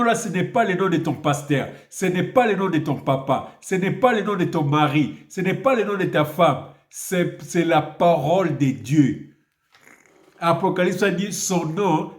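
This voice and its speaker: A priest preaching a video sermon, with a cold and an emphatic style full of rhetorical questions. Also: male voice, a little high. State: has a cold. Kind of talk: video sermon with prayer and Bible reading. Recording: echoey room.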